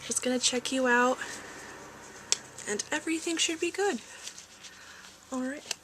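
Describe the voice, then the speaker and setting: A woman plays a calm corporate doctor in a soft-spoken ASMR checkup. Her voice sits a little high and moves at an unhurried, slow pace, gentle and reassuring with some hesitancy. There is faint noise behind her. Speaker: ASMR roleplayer playing a lab doctor. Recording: faint background noise, home ASMR recording.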